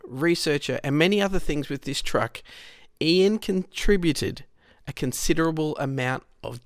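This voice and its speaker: A man's voice: fairly high pitch, no room sound, clean studio sound. An Australian man reading a scripted history in a storytelling way, speaking directly to the listener with conversational asides. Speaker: narrator, rescue unit member.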